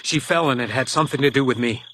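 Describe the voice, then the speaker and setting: A man's voice: mid-range voice, quick pace, a bit bright, a little hiss or hum, natural voice. An actor playing a stoic, blunt male detective in a radio crime drama, with dry, understated delivery. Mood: grim, resigned.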